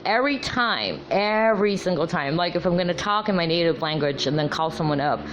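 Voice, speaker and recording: A woman whose first language is Tagalog, talking casually with lots of ums and restarts; her low voice often gets her taken for a man. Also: lively intonation, a little fast, a little hiss or hum, low-quality recording. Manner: chatty, many ums and restarts. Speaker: vlogger. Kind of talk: casual monologue.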